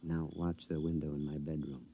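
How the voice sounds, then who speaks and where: An actor playing a henpecked husband with a gleeful secret scheme in an old radio drama, excitable, stammering and conspiratorial. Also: male voice, rumbling low, normal speed, breathy edge, dry recording, full character voice. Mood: conspiratorial, hushed. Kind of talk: mid-century radio drama acting. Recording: dull, noisy vintage radio recording.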